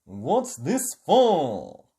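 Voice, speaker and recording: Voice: medium pitch, huge pitch swings, drawn-out words, warm tone, exaggerated voice. A storyteller voicing a playful, mischievous young boy animal from a children's book, exclaiming and drawing words out. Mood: curious.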